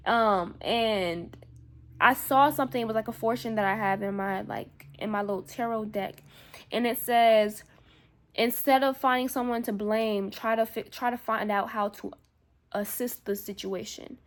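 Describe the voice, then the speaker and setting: A woman's voice: a little high, normal speed. A woman vlogging about her spirituality in a casual, rambling monologue, often repeating herself and swearing casually. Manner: rambling, repeats herself, frequent "you know what I'm saying".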